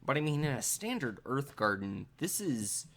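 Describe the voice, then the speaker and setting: A man's voice: mid-range voice, big pitch swings, normal speed, a bit bright. A man running a tabletop role-playing game, improvising scene descriptions in a casual, hesitant, explanatory way. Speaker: game master.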